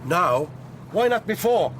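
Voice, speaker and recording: A woman's voice: fairly low pitch, big pitch swings, slow, background noise. A woman playing a hard, commanding militant leader in a radio drama, curt and insistent. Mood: suspicious.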